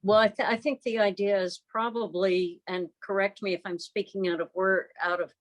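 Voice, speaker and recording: A woman speaking in a club meeting over a video call, explaining things in a measured way. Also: mid-range voice, fairly even intonation. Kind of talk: meeting discussion.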